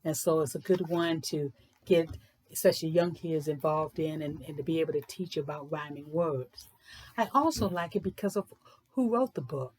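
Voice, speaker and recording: A woman presenting and reading children's books aloud in an informal, explanatory way with deliberate, emphatic phrasing. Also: fairly low pitch, darkish tone, husky. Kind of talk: informal book talk and storybook read-aloud.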